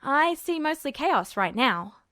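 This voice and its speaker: A woman's voice: high voice, sing-song, unhurried, bright, forceful tone, no room sound, clean studio sound, heavily acted. A woman reading the part of a brash, guarded teenage boy in a story, curt and challenging. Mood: wary, challenging.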